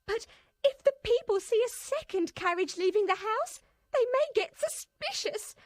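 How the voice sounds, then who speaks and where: Woman doing a shrill tearful English spinster. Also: expressive, moderate pace, dry recording, clean studio sound. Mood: tearful worry. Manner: fretful, pleading.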